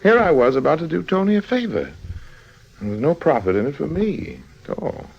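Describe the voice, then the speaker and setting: A man's voice: fairly high pitch, big pitch swings, a little fast, gravelly, slightly rough, full character voice. A man acting a suave, roguish con artist in an old-time radio drama, wry and nonchalant. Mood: confiding narration. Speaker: lead actor and narrator. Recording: dull old radio recording.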